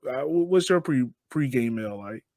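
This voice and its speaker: A man's voice: medium pitch, expressive, moderate pace, a little mellow, no echo, clean studio sound. A man hosting a podcast, asking questions in a casual, conversational style. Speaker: podcast host interviewer.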